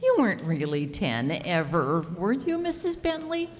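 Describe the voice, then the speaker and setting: A woman's voice: lowish voice, huge pitch swings, moderate pace. A woman acting an inquisitive, teasing little girl who stubbornly doubts, in a radio play. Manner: teasing, matter-of-fact questioning. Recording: echoey hall, noisy live stage recording.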